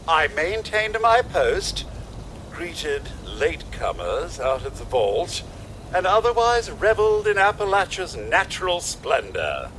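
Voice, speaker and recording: An actor voicing a polite, fussy robot butler in a video game, speaking in a formal, genteel, wordy manner with kindly worry. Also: male voice, on the high side, big pitch swings, takes it slowly, a bit bright, a little hiss or hum, exaggerated voice, rough recording. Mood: genteel, measured. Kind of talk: scripted video game dialogue.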